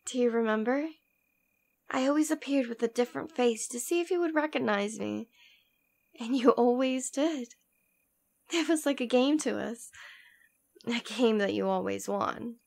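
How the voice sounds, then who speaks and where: A woman acts out a demon queen devoted to her lover, in an intimate ASMR audio drama. Her voice sits slightly high, with plenty of creak at the edges. She is tender, protective and teasing, often sinking toward a whisper. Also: a little mellow.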